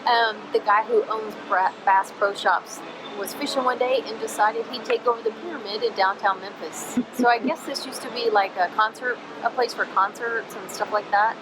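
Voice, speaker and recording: A woman's voice: on the high side, normal speed, hardly any pauses, background noise. Chatty woman RV vlogger. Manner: chatty, upbeat, often laughing. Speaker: RV travel vlogger. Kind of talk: casual vlog chat to camera.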